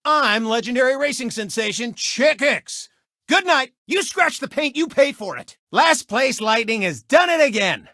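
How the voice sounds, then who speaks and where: A man's voice: extremely high pitch, animated, a little slow, sharp tone, no echo, clean studio sound, exaggerated voice. A man voicing a cocky, gloating race car turned sportscaster in a video game, with a smug, mocking, theatrical showman's delivery. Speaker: sports show host and racer. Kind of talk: exaggerated sportscast commentary and race taunts.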